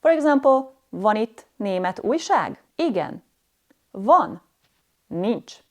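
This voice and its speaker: A woman's voice: mid-range voice, big pitch swings, takes it slowly, darkish tone. A woman narrating a scripted language lesson as a stern, harsh and demanding teacher.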